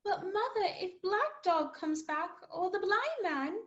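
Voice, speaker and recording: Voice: very high-pitched, lively intonation, a little slow, a bit bright, full character voice. A performer playing a frightened, dutiful fourteen-year-old boy in a radio play, urgent and pleading. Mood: anxious. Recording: dull, noisy recording.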